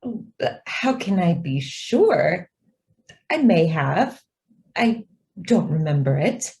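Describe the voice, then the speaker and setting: An actress playing a polite young office stenographer, deferential yet evasive and quietly persistent, in a radio drama. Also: female voice, on the low side, big pitch swings, slow pace, gravelly, heavily acted. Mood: coy, evasive. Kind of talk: stage-style radio drama acting.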